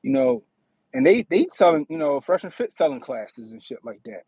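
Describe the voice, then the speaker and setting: A man's voice: on the high side, sing-song, normal speed, darkish tone, slightly rough, no room sound. A man in his thirties hosting a casual livestream, talking in a slangy, profane way and often asking "you know what I mean". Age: 30s. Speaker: livestream host.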